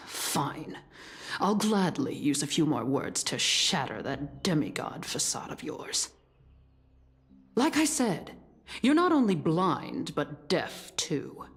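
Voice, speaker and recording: A woman's voice: deep voice, sing-song, slow pace, heavily acted. A woman playing a menacing, sneering conspirator in a fantasy game, with a drawling, mocking, theatrical delivery. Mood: smug taunting. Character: sneering, menacing female conspirator taunting a demigod. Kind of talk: dramatic fantasy game voice acting.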